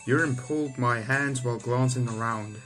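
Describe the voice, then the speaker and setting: Young adult male gaming host, mid-pitched, casually reading story text aloud with off-the-cuff remarks, music underneath. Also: moderate pace, fairly bright tone. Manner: stumbles over text and rereads lines. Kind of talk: reading visual novel aloud with casual commentary. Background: game music.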